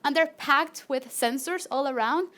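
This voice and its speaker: A woman with a Latin American Spanish accent and a high, bright voice presents her research on stage. She talks in a friendly, animated way, with the odd filler and restart. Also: a little slow. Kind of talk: live PechaKucha talk to an audience.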